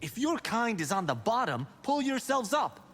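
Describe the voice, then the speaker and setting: An actor playing a proud, defiant warrior in a fighting game, confident and challenging. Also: male voice, extremely high pitch, sing-song, normal speed, fairly bright tone, heavily acted. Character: proud, defiant male warrior of noble lineage. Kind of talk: dramatic fighting-game acting. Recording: long reverb, faint noise.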